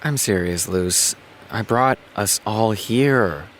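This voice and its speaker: An actor playing a brooding male TV showrunner in an audio drama, halting and understated as he voices his doubts. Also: fairly low pitch, slight background noise. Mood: conflicted, earnest. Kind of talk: naturalistic audio drama dialogue.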